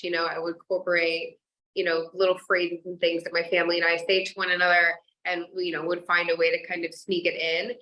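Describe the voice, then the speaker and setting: A young woman from Kansas, a singer chatting casually in an interview, bubbly and quick to laugh, with lots of likes and you-knows. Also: fairly low pitch, bright, forceful tone, rough recording. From Kansas, USA. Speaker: singer guest.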